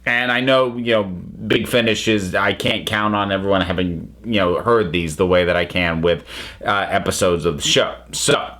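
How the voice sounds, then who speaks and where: A man's voice: low-pitched, moderate pace, a bit bright. A man reviewing audio dramas in a casual, conversational way, thinking aloud and interrupting himself as he goes. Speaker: reviewer, channel host.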